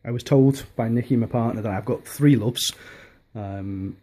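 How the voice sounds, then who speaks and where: Rambling husky Liverpool man at home. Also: medium pitch, moderate pace, mellow. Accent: softened Liverpool. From Liverpool, England. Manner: rambling with many restarts and ums. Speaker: hobbyist vlogger. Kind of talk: casual home video chat. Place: home dining room. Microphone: handheld camera.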